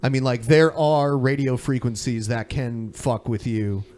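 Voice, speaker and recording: A man's voice: mid-range voice, steady tone, measured pace, a little mellow. A man co-hosting a podcast, chatting casually and giving his opinions in informal, sweary language. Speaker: podcast co-host.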